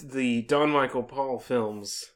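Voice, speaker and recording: A man's voice: medium pitch, takes it slowly. A man co-hosting a casual podcast, making dry, wisecracking remarks. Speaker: podcast co-host. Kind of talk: casual chat.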